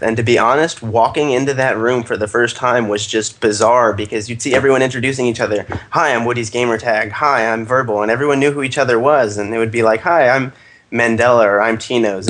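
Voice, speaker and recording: Male gamer as podcast guest, average pitch, tidy and fluent in relaxed conversational storytelling. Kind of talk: casual storytelling.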